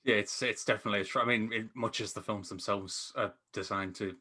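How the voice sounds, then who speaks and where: A man's voice: fairly low pitch, fairly even intonation, fast talker, bright, forceful tone. A man chatting casually about films as a panelist, rambling and enthusiastic. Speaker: podcast panelist reviewing films. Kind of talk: casual film discussion chat. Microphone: remote call microphone.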